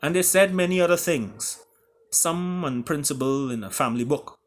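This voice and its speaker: A man with a Caribbean accent reading his own story aloud in a theatrical way, with deliberate pauses. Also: fairly high pitch, expressive. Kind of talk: dramatic storytelling.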